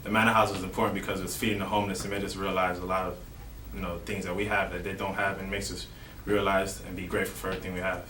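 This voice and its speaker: A young man from Miami, a college baseball player, answering interview questions in a relaxed way with lots of "you know". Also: sits low, rapid-fire, few pauses. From Miami, Florida. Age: young adult. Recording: roomy, distant mic with faint noise.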